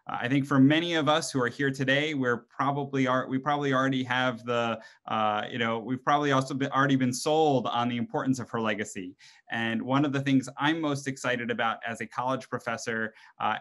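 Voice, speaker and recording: Man hosting an online panel, haltingly. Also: mid-range voice, a bit bright. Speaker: webinar moderator and host; college professor. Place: indoor room at home, video call. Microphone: computer microphone on a video call.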